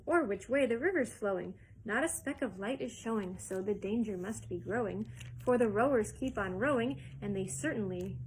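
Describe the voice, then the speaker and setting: A woman reading aloud and voicing an eccentric, excitable male chocolate maker with a loud, bouncy delivery. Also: high voice, slight background noise, low-quality recording.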